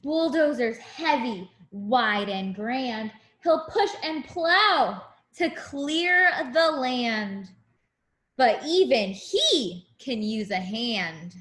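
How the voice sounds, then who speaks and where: A woman with a high voice reads a picture book to small children in a slow, unhurried way. Her intonation swings widely and expressively, and she speaks simply, as a teacher does to young pupils. The room adds a noticeable echo. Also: animated, a bit bright. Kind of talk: children's read-aloud with asides to the listeners.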